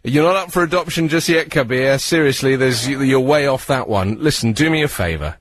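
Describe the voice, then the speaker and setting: A man with a British accent hosting a radio phone-in, giving earnest conversational advice and posing questions in a measured way. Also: medium pitch. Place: radio studio.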